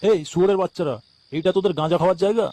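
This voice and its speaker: A man's voice: high-pitched, very fast, over music, lightly acted. A man with a West Bengali accent voicing an irritable, foul-mouthed village banana farmer in a comic cartoon. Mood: indignant. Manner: grumbling, swearing.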